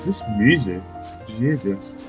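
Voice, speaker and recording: A man's voice: on the low side, animated, takes it slowly. A man giving casual, chatty commentary while playing through a video game. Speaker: gamer playing through a story quest. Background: game music.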